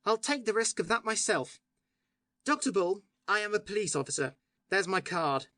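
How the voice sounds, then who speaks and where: Woman reader voicing passionate young poet-detective, animated and declamatory, dry sound. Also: medium pitch, moderate pace, no room sound. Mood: fiery. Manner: excitable, declamatory. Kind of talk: audiobook character voice.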